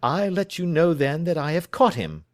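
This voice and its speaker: A man reading the part of a calm, sharp-witted male detective, suave and precise as he lays out his reasoning. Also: on the high side, moderate pace, no echo, clean studio sound, voice pushed up. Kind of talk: audiobook reading.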